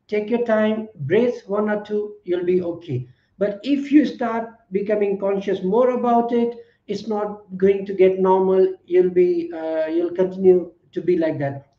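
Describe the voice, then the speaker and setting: A man giving calm, reassuring public-speaking advice as a guest lecturer on a video call. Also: high-pitched, reverberant, muffled recording.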